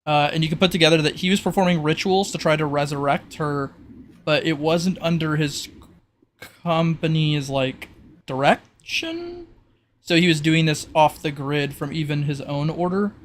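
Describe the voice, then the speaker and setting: A man's voice: fairly high pitch, moderate pace, slight background noise. A man speaking as a tabletop game master, explaining options in a casual, improvised way. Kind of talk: improvised narration of tabletop roleplay.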